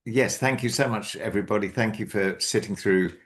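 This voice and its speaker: A man's voice: on the low side, brisk. A man hosting an online book launch on a video call, speaking hesitantly with many ums and restarts. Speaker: book co-author and event host.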